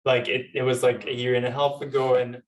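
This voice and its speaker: This is a young man speaking at a panel event. His delivery is conversational and somewhat hesitant, and his pitch stays quite flat. He sounds some distance from the microphone, as in a live event recording. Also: a little fast. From Turkey. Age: young adult. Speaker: panel speaker, law student and activist. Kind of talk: informal panel talk with personal storytelling.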